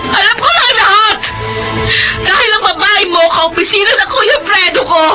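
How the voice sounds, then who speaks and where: A woman's voice: very high voice, animated, moderate pace, music in the background. An actress with a Filipino accent playing a wounded, fiery betrayed wife and mother in an emotional melodrama. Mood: furious, tearful. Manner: emotional, dramatic delivery.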